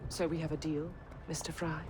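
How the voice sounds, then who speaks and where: A female actor playing a shrewd, poised businesswoman in a video game, crisp, formal and commanding. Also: sits low, little pitch movement, fairly quick, noisy background, natural voice. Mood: businesslike. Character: shrewd, haughty businesswoman, brisk and theatrically poised. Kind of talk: video game cutscene acting.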